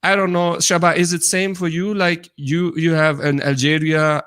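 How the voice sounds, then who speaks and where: Higher-pitched Pakistani man, halting delivery. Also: little pitch movement, a bit bright, smooth. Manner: halting, searching for words. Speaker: co-host. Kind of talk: casual live discussion, reading viewer comments.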